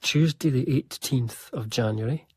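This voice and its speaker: Radio drama: eager young gentleman diarist of the 1700s, mid-pitched, formal and literary, confiding in the listener. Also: measured pace, breathy edge, no room sound. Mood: reflective. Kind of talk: radio drama narration and dialogue.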